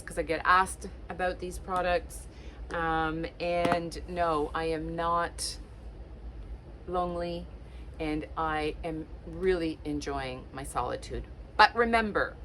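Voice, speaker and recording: A woman's voice: lowish voice, a little hiss or hum, low-quality recording. A woman in her late fifties, originally from Portugal, chatting casually to camera with lots of ums and likes. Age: late 50s. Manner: chatty, warm-hearted encouragement with many fillers. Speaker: lifestyle vlogger.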